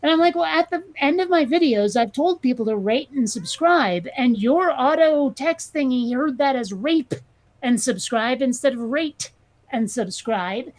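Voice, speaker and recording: A woman's voice: sits high, expressive, unhurried, rough recording. A woman with a New England accent, co-hosting a casual chat, blunt and sarcastic, swearing freely. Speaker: podcast co-host.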